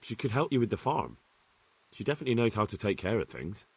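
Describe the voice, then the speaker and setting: A man's voice: on the low side, sing-song, fast, breathy edge, full character voice, poor audio. An actor playing an earnest, polite young man, a little hesitant, in a medieval video game cutscene. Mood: persuading. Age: young adult.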